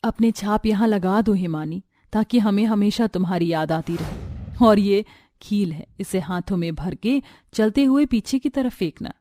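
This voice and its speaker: A woman's voice: medium pitch, dark tone, dry recording, clean studio sound, natural voice. A woman voicing a poor, devoted, anxious sister-in-law in an earnest, plaintive, tender way for a story.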